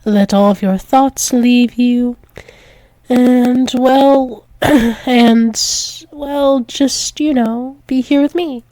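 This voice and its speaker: A woman's voice: a little high, steady tone, extremely slow, dark tone, no room sound, poor audio. A woman playing a dominant, doting female alpha werewolf demon in an intimate ASMR roleplay, coaxing and soothing, often dropping into whispers. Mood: soothing, tender.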